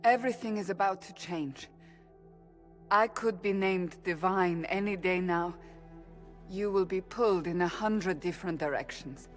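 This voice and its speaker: A woman's voice: on the low side, unhurried, faint music behind, natural voice. An actress playing a serious, privately tender warrior woman in a game cutscene, speaking earnestly. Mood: earnest, wistful. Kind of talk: video game cutscene drama.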